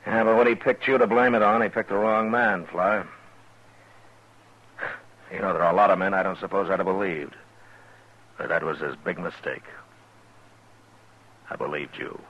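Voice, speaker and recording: Very deep, gritty-voiced frontier lawman. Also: normal speed, long pauses. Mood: calm, assured. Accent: American. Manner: terse, commanding, plain-spoken. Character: shrewd, authoritative frontier lawman, grown man. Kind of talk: 1950s radio western acting. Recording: muffled 1950s radio recording.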